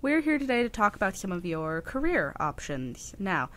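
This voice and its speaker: A woman's voice: mid-range voice, big pitch swings, normal speed, natural voice. A woman playing a patient, skeptical career counselor in a comic radio play, polite, businesslike and dryly unimpressed. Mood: polite skepticism.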